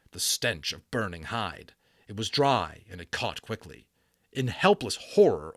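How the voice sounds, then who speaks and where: A man's voice: fairly low pitch, fairly bright tone, dry recording, clean studio sound. A man narrating a storybook in a dramatic reading, with theatrical emphasis and a storyteller's delivery. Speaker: audiobook narrator.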